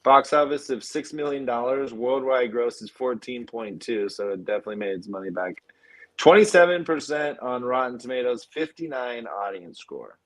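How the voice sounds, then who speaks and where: A man's voice: medium pitch, expressive, normal speed, rough recording. A man hosting a film podcast in a casual, conversational style.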